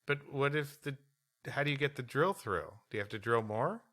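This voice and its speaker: Man, bright forceful voice, medium pitch, animated delivery, podcast storytelling with casual banter. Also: normal speed. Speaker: comedy podcast co-host. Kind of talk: scripted story reading mixed with bantering chat.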